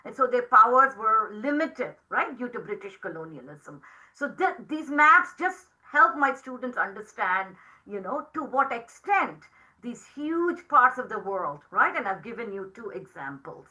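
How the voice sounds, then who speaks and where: Bright-voiced woman lecturing, native Tamil speaker. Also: fairly high pitch, big pitch swings, rough recording. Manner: frequent tag questions, measured and explanatory. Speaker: professor lecturing to teachers. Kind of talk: academic lecture.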